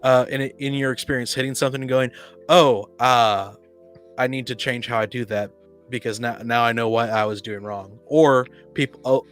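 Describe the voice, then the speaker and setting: A man co-hosting a casual podcast discussion, talking in a halting way and often rephrasing mid-sentence. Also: medium pitch, steady tone, a bit bright, faint music behind. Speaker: podcast co-host. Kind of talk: casual discussion of tabletop roleplaying.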